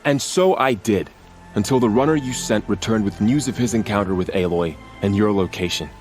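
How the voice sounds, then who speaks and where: Guy doing a gruff proud warrior in a game, really deep voice, music under it. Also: moderate pace, a little mellow, close to an everyday voice. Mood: insistent. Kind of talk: video game cutscene acting.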